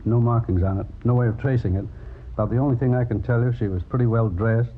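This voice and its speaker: An actor playing a laconic American police lab technician in a 1950s radio crime drama, talking in a casual, matter-of-fact way. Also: male voice, medium pitch, fast, lightly acted. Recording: tinny, noisy vintage radio recording.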